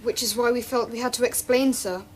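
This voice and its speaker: A woman's voice: a little high, steady tone, brisk, close to an everyday voice. A woman playing a polite, earnest schoolgirl in a radio drama, explaining herself expressively and anxiously.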